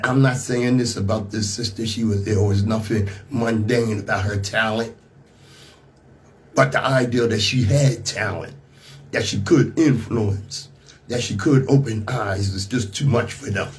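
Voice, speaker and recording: A man with an American accent and a slightly rough voice, hosting a radio talk show with emphatic, rhetorical commentary. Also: lowish voice, measured pace, a little hiss or hum. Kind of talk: radio monologue mixing scripted commentary and casual asides.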